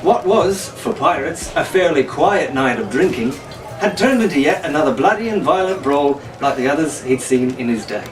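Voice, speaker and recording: A man's voice: on the high side, expressive, normal speed, over music. A man narrating an adventure story in a dramatic, scripted audiobook style. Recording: roomy, distant mic, faint noise.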